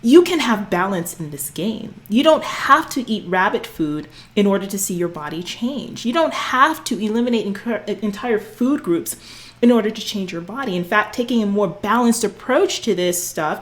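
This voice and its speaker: A fitness coach in her forties speaks straight to the camera, sassy and preachy, calling her listeners honey and girl. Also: medium pitch. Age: 40s. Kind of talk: direct-to-camera coaching talk.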